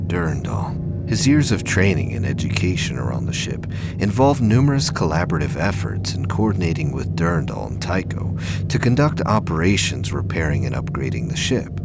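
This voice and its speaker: A man delivers dramatic, scripted audio play narration with intense suspense. His voice is extremely deep with heavy fry, moving through a lively range over a music bed. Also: very noisy.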